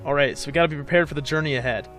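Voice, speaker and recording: A man's voice: a little high, very fast, fairly bright tone. A young man giving casual, explanatory commentary as he plays a video game. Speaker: gamer hosting a let's play. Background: game music.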